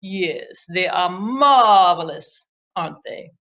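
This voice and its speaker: A woman's voice: on the low side, animated, slow pace, lo-fi sound. A woman voicing a self-important, theatrical male puppet Broadway critic in a grandiose manner for a children's puppet show. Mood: pompous, admiring. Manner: grandiose, dramatic, with repeated phrases.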